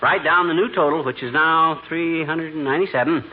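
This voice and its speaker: An actor playing a boastful, folksy American husband in a 1940s radio comedy, wisecracking on a vintage recording. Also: male voice, on the high side, fairly quick, phone-quality sound, background noise, lightly acted. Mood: proud, showing off. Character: boastful, folksy, stubborn husband absorbed in silly schemes.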